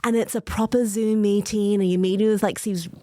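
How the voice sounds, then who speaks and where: A woman's voice: medium pitch, fairly even intonation, brisk, warm tone, dry recording, clean studio sound. A woman in her thirties hosting a podcast in casual, bubbly chat, laughing often. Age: 30s. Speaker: podcast host.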